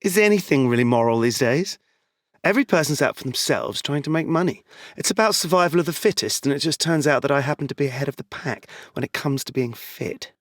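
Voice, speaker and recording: A man's voice: on the high side, lively intonation, a little fast, no room sound, clean studio sound, natural voice. An actor playing a smug, greedy con man in an audio drama, glib and self-satisfied. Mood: smug, defiant.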